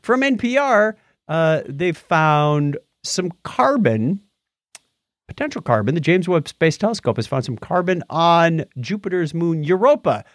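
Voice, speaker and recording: A man's voice: fairly high pitch, very animated, measured pace, no room sound, clean studio sound. A man co-hosting a casual show, bantering in a jokey, chatty way through news items and announcements. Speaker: podcast co-host.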